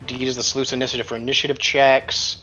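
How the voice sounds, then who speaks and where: A man's voice: medium pitch, level tone, quick pace, sharp tone, dull audio. A man working as game master, talking casually and profanely through rules and narrating the action. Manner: colloquial, profane. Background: background music.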